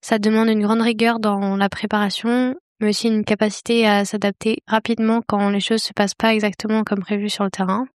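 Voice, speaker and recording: A woman in her twenties from the Paris region, an engineering intern, describing her internship in an even, composed way. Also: mid-range voice, fairly quick, few pauses, no room sound, studio quality. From Paris region, France. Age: 20s.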